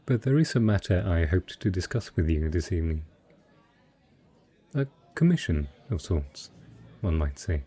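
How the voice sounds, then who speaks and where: Man acting a deep, husky, suave merchant lord. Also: warm tone, exaggerated voice. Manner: courtly, formal, smooth-talking flirtation. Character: wealthy male merchant patron, secretly a dragon, suave and possessive. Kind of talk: romantic fantasy audio roleplay addressed to the listener.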